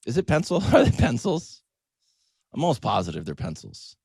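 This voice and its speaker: A man's voice: mid-range voice, normal speed, gravelly, breathy edge, no room sound. A man hosting a sports talk show, telling stories in a conversational, comedic and emphatic monologue. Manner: emphatic, animated, acts out quoted exchanges.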